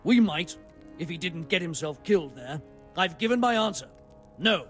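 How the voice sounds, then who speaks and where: An actor playing a stubborn, officious medieval monastery steward in a video game, firm and curt. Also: male voice, sits high, expressive, moderate pace, a bit bright, soft background music. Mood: firm refusal. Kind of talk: video game cutscene acting.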